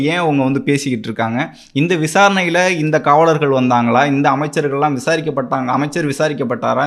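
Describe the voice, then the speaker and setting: A man with a Tamil Nadu accent giving political news commentary as an explainer, speaking emphatically. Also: a little high.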